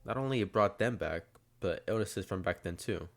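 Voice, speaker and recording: A man's voice: low voice, moderate pace, vocal fry. A man hosting a video, giving scripted analysis commentary. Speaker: YouTube host. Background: faint music.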